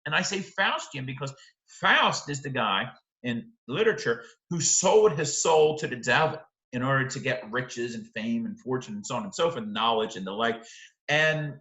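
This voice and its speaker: A middle-aged man teaches literature in an explanatory video lecture. His voice is mid-pitched, bright and forceful, and he leans on emphasis and rhetorical questions. The room adds audible echo around his words. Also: very animated, moderate pace. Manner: emphatic, uses rhetorical questions and check-in tags. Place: indoor room, close to a webcam. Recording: roomy, echoing sound.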